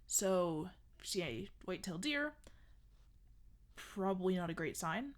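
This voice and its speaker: A woman's voice: on the low side, animated, a little slow. A woman hosting a horror comedy fiction podcast, rambling along with lots of fillers. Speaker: podcast host playing a restaurant worker. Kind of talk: scripted horror comedy monologue.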